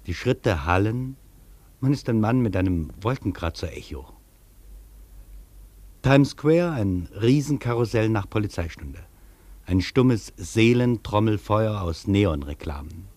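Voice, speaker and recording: A male actor playing a reflective traveller, delivering a literary radio monologue in a measured, poetic, declamatory way. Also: fairly low pitch, lively intonation, a little mellow, no echo. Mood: hushed wonder. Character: reflective male traveller marvelling at a vast foreign city. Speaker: actor reading a traveller's first-person account in a radio feature.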